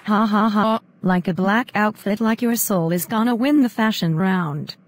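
A woman's voice: mid-range voice, moderate pace, slight background noise. Woman voicing a boastful red-haired big sister, cartoon, teasing, faint crowd. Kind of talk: fan animation voice acting.

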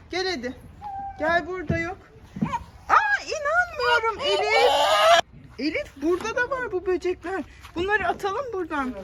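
A woman's voice: very high voice, background noise. A woman talking as a mother to her young child in playful casual chat, coaxing with short repeated prompts and questions. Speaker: mother hosting a family play video. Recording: music behind the voice. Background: background music.

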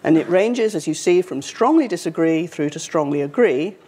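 A woman, giving a university public lecture in a conversational, wry way, her voice slightly croaky. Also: sits low, expressive, normal speed, mellow. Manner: conversational, wry, with frequent fillers. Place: university lecture hall, indoors.

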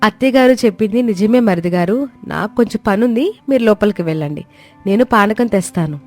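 Woman playing a meek, mellow-voiced young daughter-in-law. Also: mid-range voice, animated, a little fast, soft background music, close to an everyday voice. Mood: polite. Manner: polite, deferential, composed.

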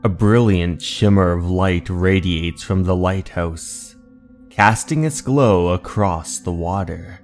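A man narrating a scripted horror story in a measured, theatrical, dramatic way. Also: low-pitched. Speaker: narrator, channel host. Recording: music behind the voice.

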